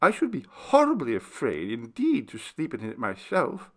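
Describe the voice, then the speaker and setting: A man voicing a genteel young mistress, cosy and playfully fearful, in an animated storybook reading. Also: mid-range voice, normal speed. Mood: admiring, uneasy.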